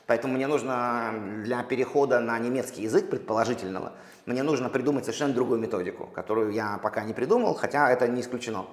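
A man from Russia, a poet, answering interview questions slowly and thoughtfully, with many pauses and restarts. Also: mid-range voice. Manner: halting, many fillers and restarts. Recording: echoey room with long reverb.

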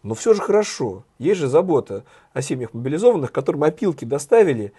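A man with a hoarse voice co-hosting a satirical news chat, talking in a sardonic, ironic way. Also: on the high side, very animated, fast talker, warm tone. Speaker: co-host, journalist.

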